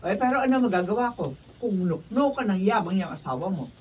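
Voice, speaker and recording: Fed-up Filipino mother for radio drama; animated, swooping delivery, off-mic. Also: mid-range voice, quick pace, breathy edge. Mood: fed-up, worried. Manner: weary, grumbling. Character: fed-up, worried mother of an arrogant grown son. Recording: distant, muffled, noisy studio capture.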